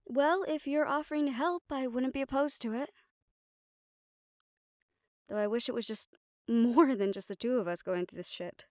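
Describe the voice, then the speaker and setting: A woman's voice: sits high, normal speed, long pauses, no echo, clean studio sound, close to an everyday voice. A woman playing a stern, exasperated young siren banshee spellcaster in a roleplay audio drama, talking conversationally and emphatically. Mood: thoughtful. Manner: conversational, sighing, chiding, emphatic.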